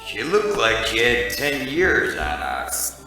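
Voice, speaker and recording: A man playing a boozy, wisecracking hardboiled private detective in a parody noir radio drama, delivered deadpan. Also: fairly low pitch, slow pace, full character voice. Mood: wry, musing. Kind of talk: parody noir radio drama, first-person narration and dialogue. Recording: very echoey, distant, music underneath.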